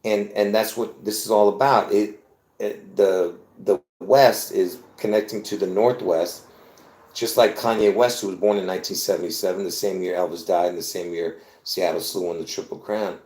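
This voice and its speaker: Low-voiced man from Lebanon, insistent rambling host, echoey room. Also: fairly even intonation, normal speed, a little mellow. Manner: insistent, repetitive, with many restarts. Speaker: conspiracy video host. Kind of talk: rambling live monologue.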